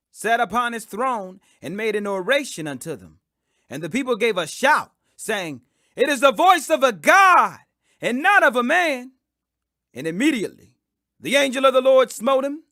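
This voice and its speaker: A man's voice: high-pitched, bright, forceful tone, no room sound, studio quality. A man reading scripture as an audiobook narration, in a solemn, declamatory, phrase-by-phrase delivery. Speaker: Bible reader.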